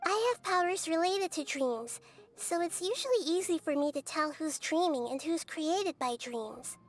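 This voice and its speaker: An actress voicing a small, wise girl god of dreams in video game dialogue, speaking calmly and reasoning things through patiently. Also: very high-pitched, normal speed. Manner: calm, patient, reasoning step by step. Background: faint game music.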